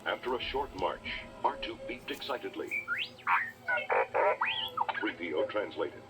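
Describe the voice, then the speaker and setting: Deep, animated male storybook narrator. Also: a little slow. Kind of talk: dramatic scripted children's read-along narration. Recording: old cassette, music behind. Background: music.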